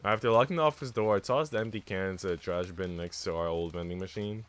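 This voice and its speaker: A man's voice: low-pitched, quick pace, fairly bright tone, a little hiss or hum. A man live-streaming, reading a visual novel aloud and chatting casually with viewers in a slangy, teasing way.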